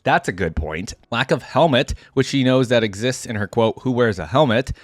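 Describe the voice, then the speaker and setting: A man's voice: medium pitch, expressive, moderate pace, no echo, studio quality. A man giving casual reaction commentary as host of a game lore video, reading bits aloud between remarks. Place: close, dry indoor recording.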